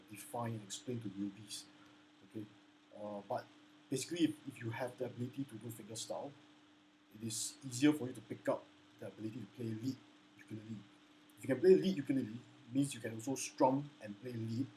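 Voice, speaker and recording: A man's voice: dark tone, slight background noise, low-quality recording. A man teaching ukulele, speaking hesitantly with many restarts and "okay?" check-ins. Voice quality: low baritone voice. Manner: apologetic and earnest. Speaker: ukulele instructor. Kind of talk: casual spoken lesson with sung demos. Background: ukulele playing.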